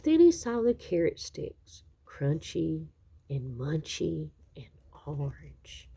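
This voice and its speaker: A woman reading a children's picture book aloud expressively, with dramatic, drawn-out emphasis on key words. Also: deep voice, sing-song, gravelly, warm tone, husky. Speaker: children's story reader.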